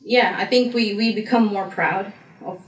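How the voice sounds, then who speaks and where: A woman's voice: medium pitch, steady tone, moderate pace. A young woman from Slovenia with a Slovenian accent, speaking English as a second language and answering interview questions in a chatty way, with many fillers and restarts. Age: young adult. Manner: chatty, frequent fillers and restarts, laughs easily. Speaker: interviewee, graduate student. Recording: echoey room, mic far off.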